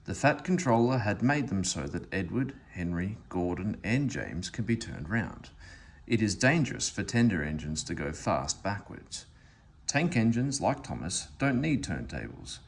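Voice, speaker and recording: Animated man reading a children's story. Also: sits low, a little slow, slight background noise. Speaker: story reader. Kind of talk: read-aloud children's storybook narration.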